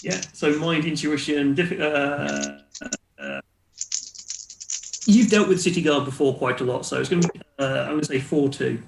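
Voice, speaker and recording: Creaky-voiced man running a tabletop game. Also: a little high, sing-song, normal speed, roomy sound, noisy background. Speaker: game master. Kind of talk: casual narration and rules talk for a tabletop role-playing game.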